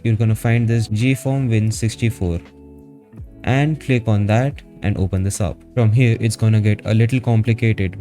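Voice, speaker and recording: A man narrating a step-by-step software tutorial in a casual, instructional manner, as a streamer would. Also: on the low side. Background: background music.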